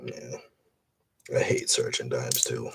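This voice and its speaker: A man's voice: extremely low pitch, completely flat delivery, slow, gravelly, a bit bright, in a whisper. A man chatting casually in a live stream while sorting coins, rambling with many fillers and restarts. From Boston area. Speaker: coin roll hunter streaming.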